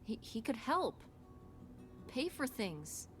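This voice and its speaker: A woman's voice: fairly high pitch, very animated, slow, soft background music. A woman playing an earnest, pushy teenage daughter in a naturalistic video game drama, questioning in a blunt, plaintive way. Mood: accusing, hurt.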